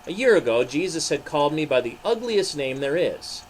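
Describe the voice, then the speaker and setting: A man's voice: a little high, very animated, normal speed, a little hiss or hum. A man reading a storybook as an anguished, ashamed male disciple, confessing emphatically.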